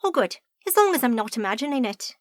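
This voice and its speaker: A woman's voice: fairly high pitch, sing-song, quick pace, no echo, studio quality. A woman voicing a young, plain-spoken woman, a new apprentice. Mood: curious.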